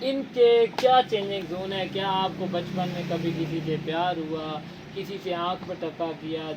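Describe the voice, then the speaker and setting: Higher-voiced man earnestly lecturing, noisy recording. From Punjab, Pakistan. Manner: earnest, lecturing, formal Urdu with many fillers. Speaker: interviewer, channel host. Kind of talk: casual interview chat.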